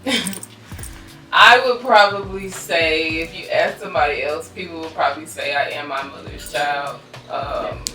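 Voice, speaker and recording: Low-voiced woman in her thirties. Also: normal speed, bright, forceful tone, echoey room, distant mic, soft background music, low-quality recording. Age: 30s. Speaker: guest. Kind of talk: casual interview chat.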